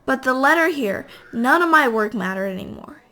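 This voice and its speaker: A woman reading a novel aloud as an amateur, halting at times as she stumbles over words and corrects herself. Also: sits high, lively intonation, bright, forceful tone. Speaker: amateur reader.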